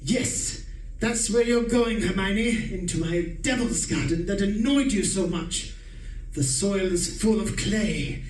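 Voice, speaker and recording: A man's voice: high-pitched, slow, recorded from a distance, slight background noise. A man playing a cold, taunting husband who plots to kill his wife in a radio drama. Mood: gloating. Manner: calm, menacing, taunting. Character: cold scheming husband planning murder. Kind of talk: amateur radio drama acting. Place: live stage performance. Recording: roomy stage recording from off mic.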